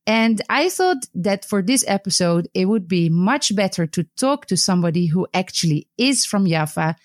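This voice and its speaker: Female interview host, medium pitch, conversational and explanatory, dry close sound. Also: no echo. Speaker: podcast host, history teacher. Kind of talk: hosting an interview, conversational narration.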